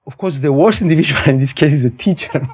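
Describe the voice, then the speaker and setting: A man in his fifties with a Cypriot accent speaks in a fairly high register. He talks casually as a podcast guest, giving firm opinions, wandering off and restating his points. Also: fast talker, breathy edge. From Cyprus. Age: 50s. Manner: opinionated, digressive, repeats and rephrases points. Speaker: podcast guest, high school physics teacher. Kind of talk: casual conversational interview.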